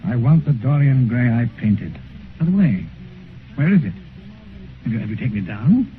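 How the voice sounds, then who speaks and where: An actor playing an earnest, wounded British gentleman painter, reproachful and pleading, in a 1950s radio drama. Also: medium pitch, very animated, moderate pace, close to an everyday voice. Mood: puzzled, insistent. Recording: muffled 1950s radio recording, faint noise.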